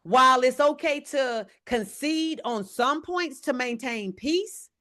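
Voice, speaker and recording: A woman, an evangelist teaching a church leadership lesson in a loud voice. She speaks to the audience directly and checks in with them often. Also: fairly high pitch. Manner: says she has a very loud voice; talks to the audience directly, often asking "right?".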